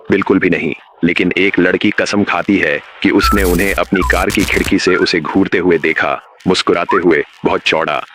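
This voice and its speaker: Deep-voiced, ominous emergency broadcast announcer played by a man. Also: fairly bright tone, a little hiss or hum. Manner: grave, measured, menacing. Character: ominous male emergency broadcast announcer warning of uncanny teachers. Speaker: narrator. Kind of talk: scripted horror narration as an emergency broadcast.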